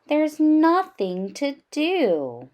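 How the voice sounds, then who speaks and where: A woman's voice: sits high, huge pitch swings, extremely slow, darkish tone, voice pushed up, heavily acted. Woman voicing a candid little girl bear cub. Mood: bored. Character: young bear cub sister, candid and unsure.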